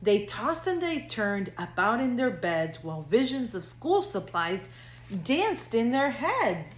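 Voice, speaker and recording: A woman school counselor speaking to young children in a gentle, singsong read-aloud storytelling manner. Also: a little high, sing-song, slow pace, echoey room, slight background noise, rough recording.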